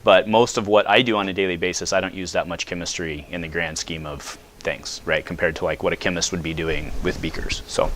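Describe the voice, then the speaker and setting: Loud casual man talking to a class. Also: low-pitched, fairly even intonation, brisk, hardly any pauses, a little hiss or hum. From United States. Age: 30s. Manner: loud, casual, asks the audience questions. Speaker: guest speaker. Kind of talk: talk to high school students. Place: high school classroom.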